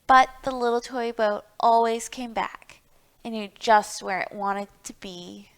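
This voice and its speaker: A woman leads a storytime for young children in a high, clear voice with a smooth ring to it. She reads and explains in an encouraging way, speaking straight to the children as their host. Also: measured pace, fairly bright tone. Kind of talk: children's storytime reading and craft instruction.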